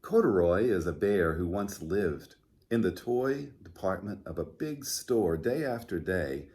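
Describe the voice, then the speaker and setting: Slow-paced, low-voiced man at children's storytime. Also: warm tone, slight background noise. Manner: simple, unhurried phrasing with questions to young listeners. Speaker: pastor hosting children's storytime. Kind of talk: gentle storytime talk and picture-book reading for children.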